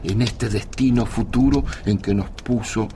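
A man giving reflective scripted narration for a radio drama, measured and pensive. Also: on the low side. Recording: clear background ambience noise.

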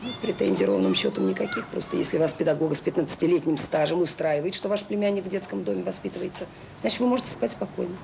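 An actress playing a dutiful orphanage director in a radio drama, polite but pointed, firm and dryly ironic. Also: female voice, on the low side, animated, fast, gravelly, darkish tone, a little hiss or hum. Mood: firm, cutting. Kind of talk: naturalistic Soviet radio drama acting.

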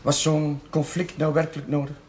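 An actor playing a gentle, flustered, self-mocking young village priest in a television period drama, speaking hesitantly. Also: male voice, on the high side, monotone, normal speed, slight background noise, natural voice. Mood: subdued, earnest. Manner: hesitant, stammering. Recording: music behind, 1970s television soundtrack.

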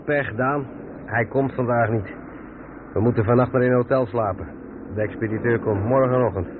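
An actor playing a practical, matter-of-fact truck driver who takes charge in a radio play. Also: male voice, medium pitch, fairly even intonation, normal speed, lightly acted. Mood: disappointed. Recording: narrow-band old radio recording, clear background noise.

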